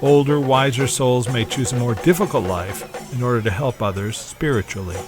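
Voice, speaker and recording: A man's voice: medium pitch, normal speed, music in the background. A man delivering scripted documentary narration in the role of narrator.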